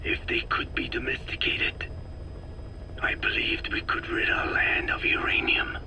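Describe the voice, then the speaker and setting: An actor playing a frail, regretful old scientist in a video game, with a weak, breathy rasp and formal, halting delivery. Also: male voice, pushed and bright, slight background noise. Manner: slow, deliberate, formal, halting phrases. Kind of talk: video game dramatic dialogue.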